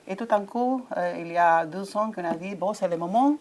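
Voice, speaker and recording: A woman's voice: fairly low pitch, normal speed, slight background noise. Woman, french not her first language, lots of euhs and restarts, chatty conference interview. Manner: hesitant, many fillers and restarts, warm enthusiasm. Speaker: ambassador of a coaching federation.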